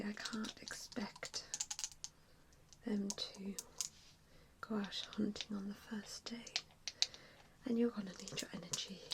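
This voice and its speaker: A woman whispering an ASMR roleplay as a gentle vampire mentor, with a hesitant, reassuring and softly spoken manner. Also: medium pitch, rough recording. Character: gentle, self-doubting female vampire mentor to new recruits.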